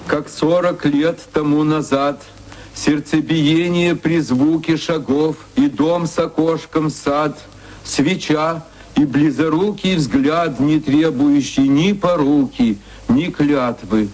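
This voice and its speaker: A man reads poetry in a solemn, chanting cadence. His voice sits fairly high, and he takes his time over every line. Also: a little mellow, poor audio. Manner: measured, solemn, chanting verse. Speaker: poet reading his verse. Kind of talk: archival poetry recitation.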